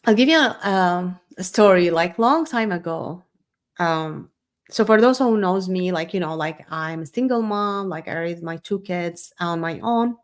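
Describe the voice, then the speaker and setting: Slow, unscripted woman. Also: on the low side. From Syria. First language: Arabic. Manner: loose, rambling, frequent fillers and restarts. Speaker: host. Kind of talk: unscripted live talk.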